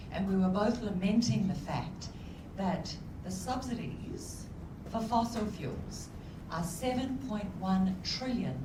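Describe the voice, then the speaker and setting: A woman's voice: lowish voice, background noise. Emphatic New woman on a panel. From New Zealand. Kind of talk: panel discussion. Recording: echoey room from far off with loud music.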